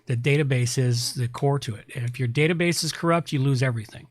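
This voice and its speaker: A man's voice: mid-range voice, fast talker, no echo. A man hosting a tech podcast, talking casually and explaining things with emphatic repetition. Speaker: podcast host, website repair specialist.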